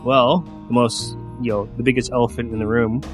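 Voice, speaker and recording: Podcast host, man, slightly stuffy, halting speech, faint music under. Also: mid-range voice, level tone. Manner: halting, with many "you know"s. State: slightly sniffly. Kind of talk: casual chat.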